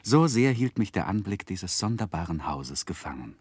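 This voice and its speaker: A man narrating a 1960s radio play as a literary storyteller, measured and expressive. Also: low voice, breathy edge, no room sound, clean studio sound.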